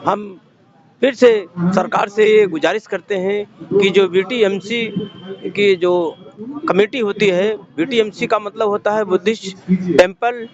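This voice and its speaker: A man's voice: high voice, fairly even intonation, measured pace. A man, a Buddhist monk giving a formal, oratorical appeal with emphatic repetition. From Uttar Pradesh, India. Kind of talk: formal impromptu speech to camera. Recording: dull lo-fi sound, crowd around.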